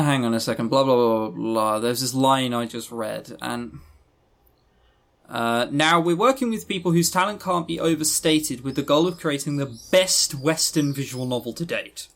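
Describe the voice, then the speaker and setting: A man's voice: mid-range voice, vocal fry, fairly bright tone. A man co-hosting a podcast, giving a casual review in a rambling, informal and blunt way. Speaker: podcast co-host.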